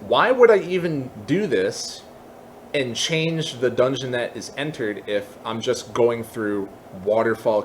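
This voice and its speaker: A man presenting a software tutorial, explaining step by step in a semi-casual way with casual asides. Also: medium pitch, a little hiss or hum.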